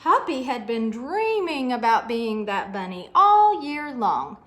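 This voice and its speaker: A woman's voice: a little high, sing-song, takes it slowly, a bit bright. A woman storyteller reading a picture book aloud expressively to children, adding asides about the pictures.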